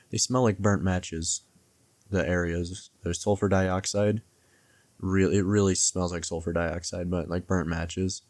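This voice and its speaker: A man's voice: sits low, darkish tone, no echo. A man giving casual video commentary, hesitant and rambling, often correcting himself mid-thought. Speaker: video essayist reviewing and theorizing about a horror series. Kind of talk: casual, loosely scripted commentary.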